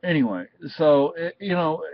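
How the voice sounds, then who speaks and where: A man's voice: a little high, expressive, no room sound, muffled recording. A middle-aged American man talking casually off the cuff, rambling and often restarting his sentences. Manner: rambling, halting with repeated restarts. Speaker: video reviewer. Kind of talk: casual unscripted talk.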